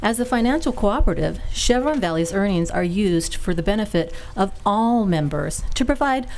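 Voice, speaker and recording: A woman's voice: mid-range voice, expressive, moderate pace, darkish tone, a little hiss or hum. A woman reading a commercial in a polished, persuasive manner for a live radio show. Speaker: ad reader in a radio play.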